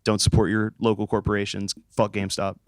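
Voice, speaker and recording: A man's voice: lowish voice, completely flat delivery, fast, no room sound. A young American man chatting casually as an interviewed band musician, joking, rambling and swearing. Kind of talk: casual chat.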